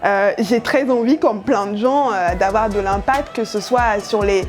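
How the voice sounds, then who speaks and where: A woman's voice: mid-range voice, a little slow, darkish tone, faint music behind. A young woman whose first language is Yoruba, speaking earnestly and thoughtfully about her work as a journalist, with frequent hesitant fillers. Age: young adult. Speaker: journalist and editorial project lead.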